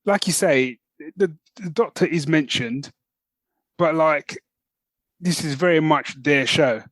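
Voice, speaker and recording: A man's voice: a little high, slow pace, fairly bright tone, no echo. A man co-hosting a podcast, talking casually and enthusiastically as a fan, in a chatty, informal way that often checks in with the listener. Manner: chatty, informal, often checks in with "right?" and "you know what I mean". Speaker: podcast co-host.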